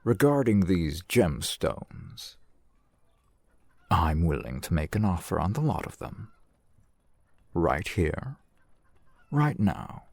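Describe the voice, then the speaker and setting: A man's voice: deep voice, creaky, darkish tone, no room sound, full character voice. A man playing a courteous, shrewd fantasy shopkeeper in an ASMR roleplay, with formal, eloquent merchant patter. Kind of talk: ASMR fantasy roleplay, softly acted one-sided dialogue.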